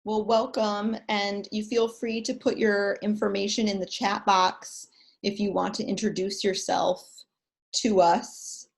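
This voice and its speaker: A woman's voice: medium pitch, unhurried, creaky. A woman therapist leading an online webinar over a video call, telling stories and talking in a chatty way with plenty of fillers. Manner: chatty, animated storytelling with lots of fillers. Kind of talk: webinar talk.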